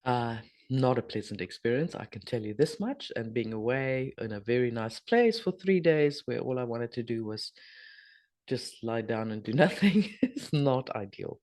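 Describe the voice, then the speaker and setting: A middle-aged woman, hoarse and husky from a sore throat, chatting casually as host of a spiritual video update with rambling, trailing asides. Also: rumbling low, lively intonation, normal speed, a little mellow. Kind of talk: casual spoken energy update.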